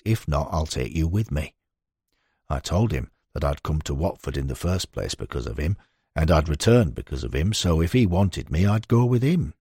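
Male narrator, low and husky, scripted non-fiction sports read, very dry sound. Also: darkish tone, no room sound. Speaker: audiobook narrator.

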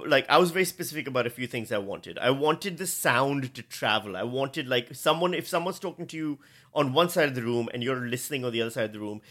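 A man chatting casually as an interview guest, talking excitedly and in a rambling way. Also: mid-range voice, fast talker. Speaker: guest, writer and comedian.

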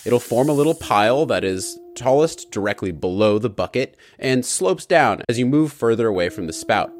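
A man's voice: mid-range voice, lively intonation, normal speed. A man hosting a podcast, narrating and explaining ideas plainly with everyday examples. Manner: plain, conversational explainer using everyday images. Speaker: podcast host.

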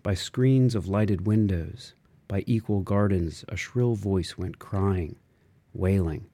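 A man reading a literary novel aloud in a measured, expressive way with dramatic phrasing. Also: deep voice. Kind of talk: literary audiobook narration.

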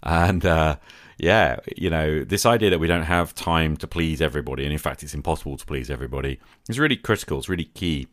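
A man's voice: rumbling low, fast talker, hardly any pauses, a bit bright, dry recording, studio quality. A middle-aged man hosting a podcast, talking reflectively and gratefully as he replies to listeners. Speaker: podcast host. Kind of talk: solo podcast talk replying to listener calls.

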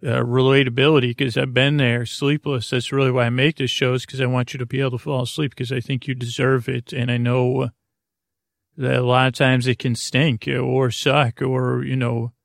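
Man, gravelly creaky voice, mid pitch, lulling bedtime rambling, hesitant and looping, dry close recording. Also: little pitch movement, moderate pace, dry recording. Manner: meandering, hesitant, trailing off and looping back on phrases; lulling, soothing, friendly tone. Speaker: podcast host. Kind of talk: rambling bedtime monologue for a sleep podcast.

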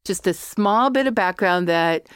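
A woman's voice: fairly low pitch, expressive, unhurried, clean tone, no room sound, studio quality. A middle-aged American woman from Colorado, an artist and academic, chatting in a podcast interview and drifting into opinionated tangents.

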